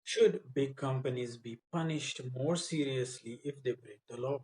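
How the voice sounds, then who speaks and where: A man's voice: mid-range voice, measured pace, a little mellow, low-quality recording. A man working as a spoken English tutor, coaching in a mock speaking test with a blunt, insistent, corrective manner.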